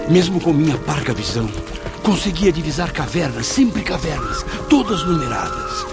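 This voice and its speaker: An actor playing an anguished spirit of a dead man, recounting his torment gravely and theatrically in a radio drama. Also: mid-range voice. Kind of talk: dramatic first-person narration in a radio drama. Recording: loud dramatic music under the voice.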